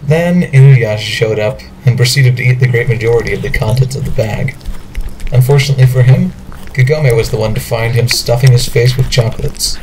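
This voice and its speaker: A man's voice: medium pitch, a little fast, a little mellow, a little hiss or hum, rough recording. A man reading scripted narration for an amateur audio drama.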